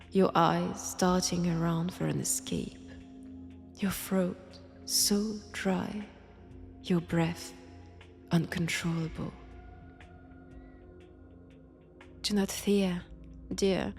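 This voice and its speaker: A woman playing a mad vampire in an intimate audio roleplay, with a French accent, seductive, menacing and mockingly tender, speaking in halting short phrases. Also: fairly low pitch, vocal fry, a little mellow. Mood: menacing, teasing. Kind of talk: slow intimate roleplay monologue.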